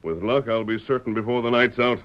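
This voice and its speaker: An actor playing a commanding, resolute masked lawman in a radio western, with formal, deliberate American diction. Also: medium pitch, natural voice. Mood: pondering, deliberate. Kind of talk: old-time radio western acting. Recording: dull, lo-fi old radio recording.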